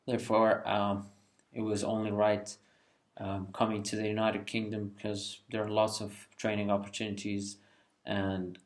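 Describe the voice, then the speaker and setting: A man in his twenties from Iraq, a junior doctor answering interview questions in a friendly, candid way. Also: on the low side. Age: 20s. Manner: friendly and candid, laughs lightly. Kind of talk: relaxed conversational answers.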